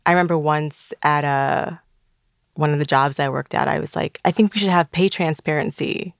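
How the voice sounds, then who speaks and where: A woman's voice: low-pitched, moderate pace, creaky, dry recording, clean studio sound. A woman in her thirties from Trinidad and Tobago, raised in South Florida, answering interview questions in a thoughtful, hesitant way. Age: 30s. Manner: thoughtful, hesitant, laughs easily.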